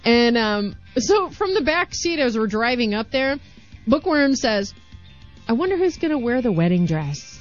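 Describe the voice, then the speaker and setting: A woman's voice: fairly high pitch, very animated, unhurried, faint music behind. A woman co-hosting a casual podcast chat, teasing and laughing often. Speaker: podcast co-host. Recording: dull lo-fi podcast audio.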